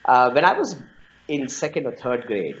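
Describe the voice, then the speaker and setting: A man's voice: medium pitch, sing-song, moderate pace. A man in his forties with an Indian accent answers interview questions with anecdotes, often repeating words as he explains. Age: 40s. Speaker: interviewee, storytelling non-profit founder. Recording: dull lo-fi audio.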